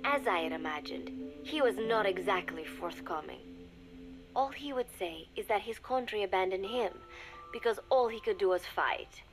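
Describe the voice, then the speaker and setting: An actress playing a young secret agent confiding in a recorded diary, speaking in measured, formal phrases that often drop to a murmur, wistful and resigned. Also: female voice, medium pitch, animated, music in the background, natural voice. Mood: somber, resigned. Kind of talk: scripted dramatic monologue, recorded diary.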